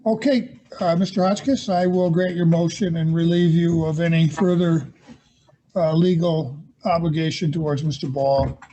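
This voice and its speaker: A man presiding as judge in a court hearing held over a video call, speaking in a measured, hesitant way with many fillers. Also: on the high side, steady tone, dark tone, low-quality recording.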